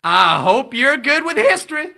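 An actor playing an excitable, showy male game show host in an audio drama. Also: extremely high pitch, normal speed, creaky, exaggerated voice. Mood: excited, showy. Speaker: quiz host.

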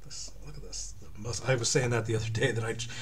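A man's voice: medium pitch, fairly even intonation, fast. A man hosting a comic book review in casual, profanity-laced chat. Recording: clear background noise.

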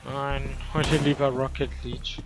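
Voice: mid-range voice, level tone, unhurried. A player chatting casually and trash-talking during an online video game. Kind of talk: casual gaming chat with trash talk.

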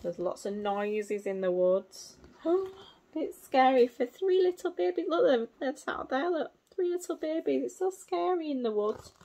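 A woman in her thirties reading a picture book to small children in simple, expressive phrases, adding little explanations as a teacher would. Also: high voice, measured pace. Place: small indoor room at home.

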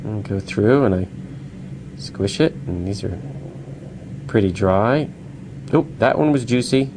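A man working as a researcher, explaining his experiments in an unhurried way with filler pauses. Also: fairly low pitch, expressive, noisy background.

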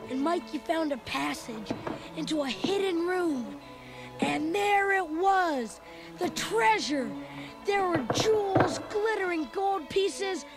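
A performer playing an excitable young boy who tells his adventure as animated, dramatic read-along storybook narration. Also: extremely high pitch, exaggerated voice. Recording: loud music under the voice. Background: loud dramatic music.

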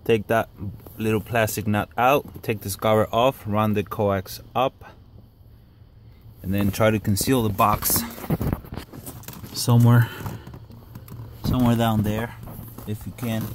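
A man's voice: fairly low pitch, steady tone, slow pace, long pauses. A man giving an informal hands-on how-to in short, step-by-step phrases, recorded on a handheld camera in and beside a car. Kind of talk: informal hands-on tutorial narration. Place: in and beside a parked car, outdoors. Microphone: handheld camera or phone mic. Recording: clear background noise, handheld camera recording.

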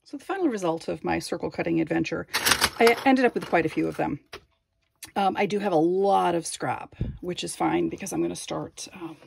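Gravelly, husky woman thinking aloud. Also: lowish voice, a little mellow, no echo. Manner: thinking aloud, self-doubting. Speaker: glass artist. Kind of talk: casual craft vlog narration. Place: home craft workbench.

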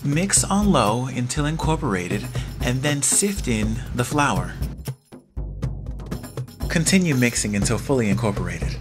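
A man, host of a home cooking show, reading scripted step-by-step recipe narration in a steady instructional way. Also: mid-range voice, a little slow, long pauses. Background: loud music.

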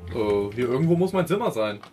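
A man's voice: medium pitch, big pitch swings, a little fast, over music. A man chatting casually as a player in a tabletop roleplaying game. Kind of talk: casual in-character chat.

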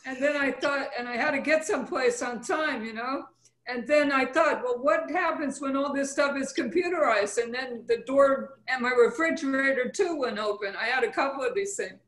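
A woman's voice: sits high, normal speed, fairly bright tone. A woman from California, a playwright, chatting casually and readily amused on a video call. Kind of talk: casual chat. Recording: roomy, echoing video call.

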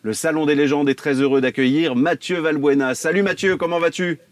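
A man's voice: fairly high pitch, steady tone, brisk, dry recording. Man, 40s, tv host, upbeat, lapel mic, dry close sound. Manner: upbeat introduction, reading a list. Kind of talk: studio presenting. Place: dark television studio.

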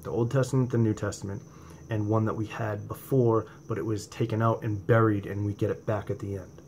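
A man's voice: fairly low pitch, little pitch movement, fairly quick, a little hiss or hum. New England man preaching to a webcam. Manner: impassioned, rising to near-shouts on emphasis, then quieter and conversational. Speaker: religious video host. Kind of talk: informal preaching to camera. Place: home room indoors at night. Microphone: webcam or laptop camera from below.

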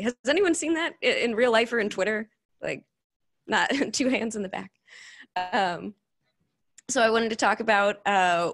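Informal, enthusiastic woman presenting. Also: mid-range voice. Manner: informal, peppered with "like" and enthusiastic asides. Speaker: conference speaker, cloud security engineer. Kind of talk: casual tech meetup presentation. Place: meetup room before a seated audience.

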